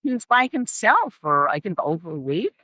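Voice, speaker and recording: A woman's voice: lowish voice, very animated, moderate pace, sharp tone, dry recording. A middle-aged woman speaking as an interview guest and care specialist, explaining and advising emphatically and repeating phrases for stress.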